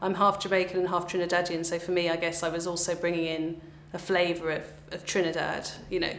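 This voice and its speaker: A woman in her thirties with an English accent talks in an explanatory interview style. Her delivery is quite flat, and the room is echoey with a faint hum. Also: on the low side, a little fast. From England. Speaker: event organiser. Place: bare indoor room, on camera.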